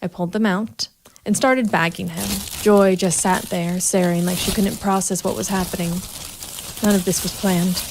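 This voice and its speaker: A woman's voice: mid-range voice, steady tone, normal speed, big-room sound, noisy background, natural voice. An actress playing a cold, methodical female private investigator and vigilante, narrating a noir audio drama in a hard-edged, matter-of-fact way. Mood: grim, controlled. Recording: music bed behind the voice.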